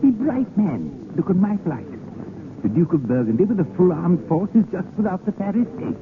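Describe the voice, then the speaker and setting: A man's voice: sits high, big pitch swings, moderate pace. An actor playing a sly, amused king in disguise, hemming and wry, in a vintage radio drama. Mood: wry, musing. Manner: hemming, chuckling, wry and imperious. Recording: scratchy, phone-like old radio broadcast, noisy.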